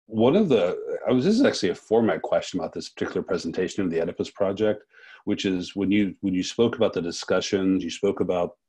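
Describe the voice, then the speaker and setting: A male interviewer with a deep, rather rough-edged husky voice. He is thoughtful and conversational, feeling his way into questions with halting, repeated words. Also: expressive, dark tone. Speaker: interviewer, festival co-director.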